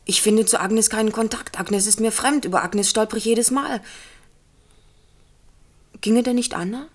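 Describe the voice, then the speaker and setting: An actress in a German radio drama playing an earnest film actress who pleads and coaxes in a hesitant, halting way. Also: female voice, fairly high pitch, slightly rough. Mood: hesitant, pleading. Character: earnest, wheedling film actress pleading over her role.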